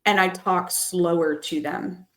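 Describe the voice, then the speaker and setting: A woman's voice: fairly even intonation, fairly bright tone. A woman in her thirties hosting a podcast, telling personal stories candidly about parenting and family. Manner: candid, storytelling, drops voice low and slow for emphasis. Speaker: podcast host. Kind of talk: casual personal chat.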